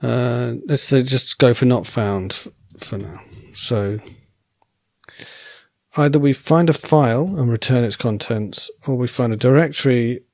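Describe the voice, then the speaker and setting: A man thinking aloud while writing code as a programming tutor, relaxed and wry, correcting himself as he goes, and losing his voice. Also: mid-range voice, creaky, no room sound.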